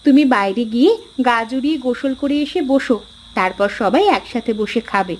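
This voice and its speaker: Woman playing a high-voiced homely village wife. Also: expressive, brisk, darkish tone, slight background noise, close to an everyday voice. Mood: caring, coaxing. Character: homely village wife and elder sister, caring, nudging. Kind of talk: cartoon dialogue acting.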